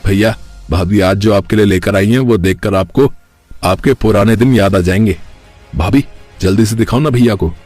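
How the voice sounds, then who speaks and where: A man plays a devoted young brother-in-law in a cartoon family tale. He has a slightly low voice, an eager and respectful manner, and clear music underneath. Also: normal speed, a little mellow, no room sound, close to an everyday voice. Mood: playful. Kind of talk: cartoon story character acting.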